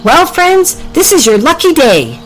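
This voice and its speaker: Woman as storybook lady secret agent, confident, breezy, animated, raised pitch. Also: measured pace, soft background music, full character voice.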